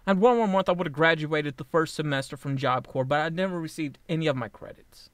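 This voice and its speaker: A man's voice: on the high side, dry recording. A man in his late twenties talking through a casual personal vlog, rambling and blunt, swearing freely and often restarting his sentences. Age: 20s. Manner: halting with frequent restarts. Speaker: vlogger.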